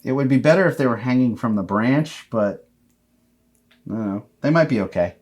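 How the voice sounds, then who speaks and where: A man's voice: medium pitch, expressive, normal speed, darkish tone, close to an everyday voice. A man voicing a nerdy little brother who loves bugs, precise and matter-of-fact, in a tabletop game. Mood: helpful. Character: nerdy, know-it-all little brother, bug enthusiast boy.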